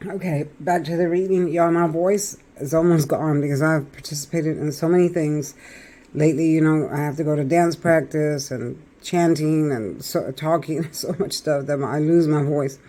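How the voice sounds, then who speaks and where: A man with a raspy voice doing a chatty tarot reading, talking straight to his viewers. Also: fairly high pitch, fairly even intonation, normal speed, mellow. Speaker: tarot reader.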